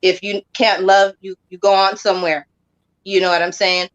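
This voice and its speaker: A woman's voice: mid-range voice, flat delivery, muffled recording. Blunt woman guest raised in Hawaii. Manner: blunt, halting, repeats words. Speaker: podcast guest, singer. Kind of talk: casual podcast conversation.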